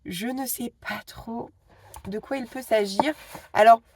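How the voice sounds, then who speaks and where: A woman's voice: fairly high pitch, unhurried, a little mellow, breathy edge, no room sound. Chatty woman vlogging with a cold. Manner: chatty, full of hesitations and asides. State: stuffy with a cold. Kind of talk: casual chat to camera. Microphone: phone.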